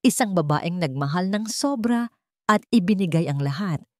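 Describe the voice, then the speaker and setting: Low-pitched woman narrating a drama. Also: animated, normal speed, no echo, studio quality. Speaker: storyteller. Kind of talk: scripted dramatic story narration.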